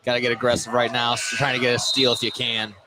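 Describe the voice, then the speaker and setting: A man's voice: medium pitch, monotone, fast talker, over a noisy crowd. A man working as a color commentator, giving loose live analysis of a basketball game between plays. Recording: noisy live gym broadcast. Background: clear gym ambience.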